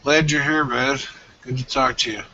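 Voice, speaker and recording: Bright-voiced easygoing male panelist. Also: medium pitch, fairly even intonation, moderate pace, lo-fi sound. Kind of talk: casual group chat.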